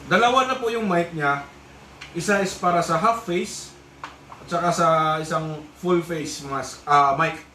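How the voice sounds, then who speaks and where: Echoey, higher-voiced Filipino man reviewing gear. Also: a little slow, bright, forceful tone. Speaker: vlogger reviewing a motorcycle intercom. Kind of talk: casual product unboxing review. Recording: echoey room, distant mic, faint noise.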